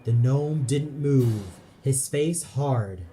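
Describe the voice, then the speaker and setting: A man's voice: medium pitch, drawn-out words, mellow, a little hiss or hum, low-quality recording. A man reading dramatic scripted narration for an audio play. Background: music.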